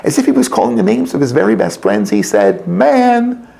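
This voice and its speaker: A man's voice: sits high, wildly swooping pitch, fast, gravelly, mellow. A man reading a story aloud to children in a theatrical, playful, emphatic way, inviting them to join in.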